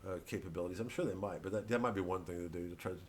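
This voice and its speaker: A male comedian delivering a rambling, halting, sweary satirical monologue full of digressions. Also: sits low, a little mellow, a little hiss or hum. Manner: acts out mock quotes and voices.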